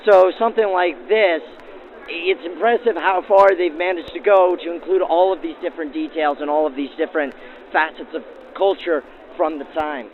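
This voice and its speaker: A man's voice: on the high side, moderate pace. A man working as host and interviewer, presenting in a 1940s radio manner through an old-time radio filter. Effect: aged 1940s radio filter. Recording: narrow, lo-fi vintage radio sound with faint music.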